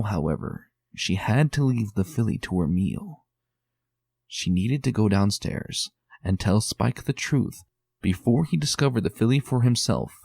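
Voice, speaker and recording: A man narrating a dramatic reading of a fan story in a measured, steady storytelling manner. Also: lowish voice, creaky, husky, dry recording, clean studio sound.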